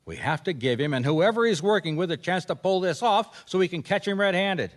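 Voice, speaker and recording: A man's voice: high-pitched, animated, fairly quick. An actor playing a bossy, impatient male private detective in a radio mystery, sarcastic and commanding as he interrogates. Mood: stern, knowing. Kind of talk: radio detective drama acting.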